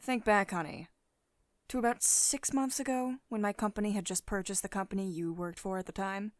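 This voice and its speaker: A woman playing a poised, teasing, mildly dominant company boss in an intimate ASMR roleplay, speaking in a measured, authoritative and condescendingly affectionate manner. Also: mid-range voice, steady tone, dry recording, studio quality, lightly acted. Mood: firm, patient. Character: poised, teasing, mildly dominant female CEO of tech firm. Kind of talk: ASMR roleplay, intimate one-sided dialogue. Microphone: close studio-style microphone, dry voice-over.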